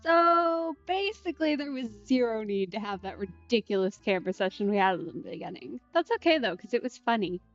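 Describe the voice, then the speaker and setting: A woman's voice: fairly high pitch, animated, brisk, few pauses, dull audio, soft background music. A woman gaming streamer giving casual, chatty, reflective let's play commentary.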